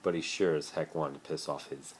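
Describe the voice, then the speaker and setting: A man's voice: rumbling low, steady tone, fairly quick, breathy edge. A man narrating fan fiction as an amateur dramatic reader, recorded on a phone.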